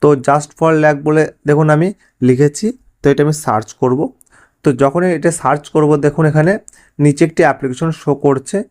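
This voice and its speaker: A man's voice: fairly high pitch, fairly even intonation, a little fast, darkish tone. A man hosting a step-by-step app tutorial, addressing viewers directly in an instructional way. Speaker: tech tutorial host.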